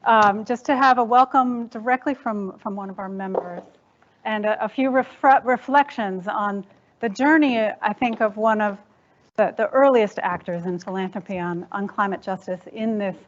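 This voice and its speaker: A woman moderator introduces a speaker in a polite, hesitant way. Her voice sits in a middle pitch, and the sound is dull and lo-fi. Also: normal speed. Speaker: panel moderator. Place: conference hall.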